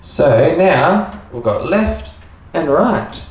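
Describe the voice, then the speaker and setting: Slow-talking Australian man, very echoey room. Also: fairly high pitch, very animated. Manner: informal, halting, talking while working with hands. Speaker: sound engineer giving a demonstration. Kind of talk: casual hands-on tutorial. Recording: echoey room, distant mic, faint noise.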